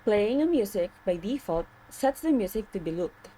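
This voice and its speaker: A woman's voice: medium pitch, animated, normal speed, warm tone, slightly rough, poor audio. A woman presenting a tutorial in scripted instructional narration.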